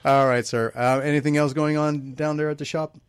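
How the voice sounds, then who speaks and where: A man's voice: a little high, level tone, brisk, smooth, dry recording. A man hosting a live broadcast in a loose, chatty way, laughing often and reading out news with plenty of fillers. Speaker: host. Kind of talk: casual live broadcast chat.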